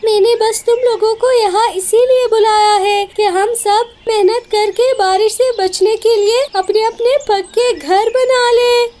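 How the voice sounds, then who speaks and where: A woman's voice: very high-pitched, steady tone, measured pace, hardly any pauses, a little mellow, voice pushed up. A woman voicing a wise, hardworking little female bird in a cartoon story, earnest and instructive.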